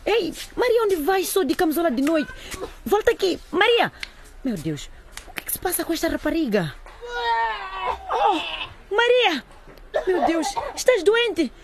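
A woman's voice: extremely high pitch, very animated, measured pace, pauses often, noisy background, full character voice. An actress in a radio drama playing a scolding, exclamatory middle-aged mother of three. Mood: frantic. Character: stern, harried mother of three.

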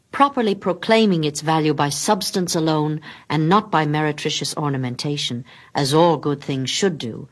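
A woman narrating a literary story expressively, with theatrical pauses and hushed drops in her delivery. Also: low-pitched, slightly rough. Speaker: story reader.

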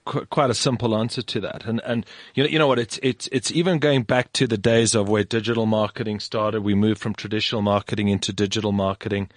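A man's voice: on the low side, fairly even intonation, fast, hardly any pauses, no room sound. A man co-hosting a studio radio show, stammering and restarting his sentences as he chats. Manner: stammers and restarts, laughs easily. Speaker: radio co-host. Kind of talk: casual radio chat.

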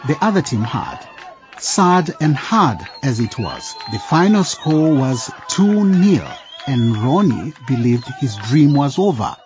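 A man's voice: mid-range voice, big pitch swings, slow pace. A man narrating a scripted radio drama as a storyteller, suspenseful, with playful asides to the listener. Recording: dull radio mix with music. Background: music.

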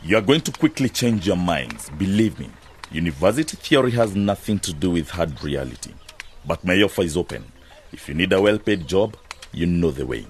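A man's voice: sits low, very animated, normal speed, a little hiss or hum. An actor playing a proud, hard-headed farmer and businessman in a radio drama, self-assured and matter-of-fact. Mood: brisk, self-assured.

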